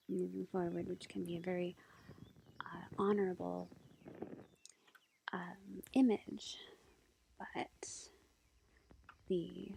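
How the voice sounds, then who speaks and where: Sweet young witch girlfriend, played by a woman for tarot ASMR: hushed, mellow, even whisper, gently reassuring, faint noise behind. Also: fairly low pitch, heavily acted. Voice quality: breathy, whispered. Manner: gentle, hesitant, reassuring, unhurried. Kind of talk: soft whispered ASMR roleplay. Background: faint ambient nature sounds.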